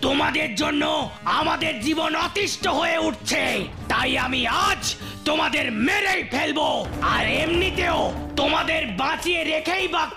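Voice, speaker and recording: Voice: high-pitched, fairly even intonation, moderate pace. An actor voicing a menacing bear chief who hates humans in a children's cartoon, in a threatening, declamatory manner. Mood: furious, threatening. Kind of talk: animated children's cartoon dubbing. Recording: loud background music.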